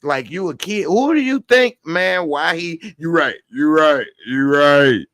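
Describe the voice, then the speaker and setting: A man's voice: a little high, animated, measured pace, a bit bright, dry recording, clean studio sound. Blunt, slangy male TV reviewer. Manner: blunt, slangy, rants with heated outbursts. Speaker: TV series reviewer. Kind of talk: casual spoken episode review.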